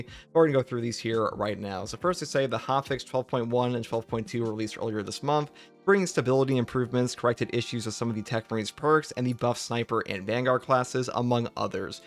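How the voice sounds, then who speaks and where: Fast-talking man doing gaming news commentary. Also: medium pitch, faint music behind. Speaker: gaming channel host. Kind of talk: casual news commentary, reading out patch notes.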